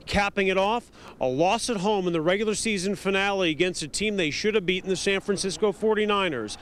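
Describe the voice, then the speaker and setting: Male sports reporter, American, notably high voice, scripted package with faint ambient noise. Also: a little fast, a bit bright.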